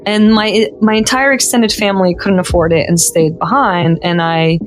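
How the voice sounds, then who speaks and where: A woman from Lebanon, a guest in a podcast studio, telling stories in a lively, casual way and saying "like" often. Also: medium pitch, normal speed, faint music behind. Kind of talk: casual storytelling interview.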